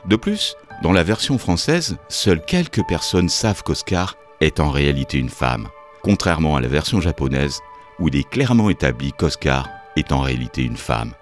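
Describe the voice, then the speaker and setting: Very deep-voiced male documentary narrator.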